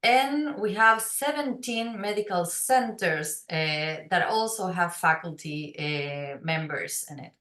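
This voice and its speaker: A woman's voice: fairly low pitch, slow pace, few pauses, a bit bright, echoey room. A woman with a Uruguayan Spanish accent, co-head of an academic program, explaining it in an online presentation with frequent hesitations. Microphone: video call.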